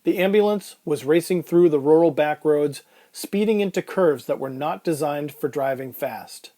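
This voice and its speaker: A man playing an uneasy, strapped-down patient, telling his surreal ordeal as brooding, deliberate first-person narration in an audio drama. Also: on the high side, warm tone, lightly acted. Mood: tense.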